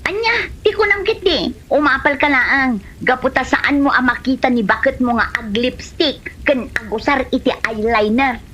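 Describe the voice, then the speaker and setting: A woman playing a coquettish village woman in a comedy drama, sassy and quick to snap back. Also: high voice, a bit bright. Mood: indignant, sassy. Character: flirty, sassy village woman named Maria. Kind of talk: Ilocano comedy drama acting. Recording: slightly dull, faint background noise.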